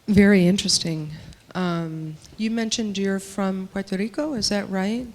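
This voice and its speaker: A woman speaks in a measured, earnest way and leans into emphatic points now and then. She voices for a panelist at a panel discussion. Her voice sits at an ordinary middle pitch, and a long hall reverb trails behind it.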